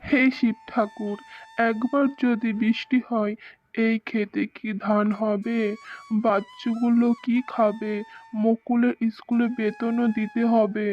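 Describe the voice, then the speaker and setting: A woman's voice: on the high side, flat delivery, measured pace, close to an everyday voice. A woman voicing a poor, devout village farmer and father in a cartoon, earnest and pious. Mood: pleading. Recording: dull lo-fi sound with faint music.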